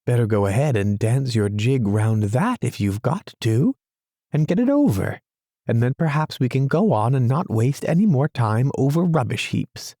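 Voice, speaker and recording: A man's voice: medium pitch, lively intonation, normal speed, warm tone, dry recording, studio quality, voice pushed up. A man voicing a fussy, literal-minded male mole in a storybook reading, with a prim, pettish manner. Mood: exasperated.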